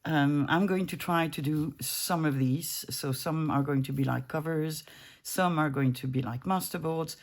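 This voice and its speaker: A woman's voice: low voice, measured pace. A woman in her fifties chatting casually at her home craft desk while she works, rambling and breaking off mid-thought. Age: 50s. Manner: rambling, self-interrupting, easygoing. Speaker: junk journaling crafter.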